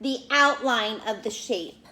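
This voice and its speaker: A woman with a high-pitched voice speaks as a teacher to young children. She takes her time, with an unhurried, expressive storytelling delivery. Her phrasing is simple and direct, made for little listeners. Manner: explains words and addresses children directly. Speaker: elementary school teacher reading aloud to pupils. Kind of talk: expressive children's read-aloud and lesson talk.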